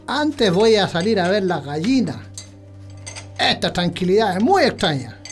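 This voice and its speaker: A stubborn country husband in a Chilean radio drama. His voice sits fairly high and moves up and down a lot. The speech is rustic, colloquial and dismissive, set over prominent music. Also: very animated, measured pace, full character voice. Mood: watchful.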